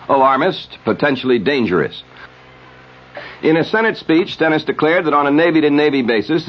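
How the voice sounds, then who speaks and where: An American man reading a scripted 1970s radio news bulletin on a dull vintage recording. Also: medium pitch. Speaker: radio newsreader.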